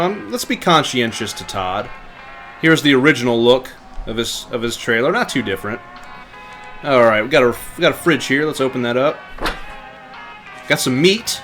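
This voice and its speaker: A man's voice: medium pitch, a bit bright. A man giving casual, chatty livestream commentary as he plays through a game, easygoing and given to swearing. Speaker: game streamer. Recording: faint game music behind. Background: game music.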